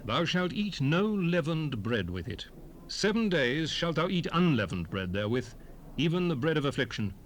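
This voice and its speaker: Old testament lawgiver, solemn and declamatory bible drama reading, lively pitch swings, bit of cassette hiss. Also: male voice. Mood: solemn, commanding. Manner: solemn, declamatory, measured phrasing. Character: solemn male lawgiver proclaiming commandments from scripture. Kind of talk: dramatized King James scripture recitation. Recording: hissy 1970s stereo cassette rip.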